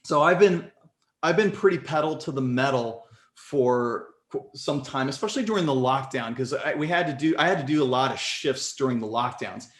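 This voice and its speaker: A man in his forties telling his story as a podcast guest in casual conversation, animated and leaping up in pitch when excited. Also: normal speed. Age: 40s. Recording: echoey room.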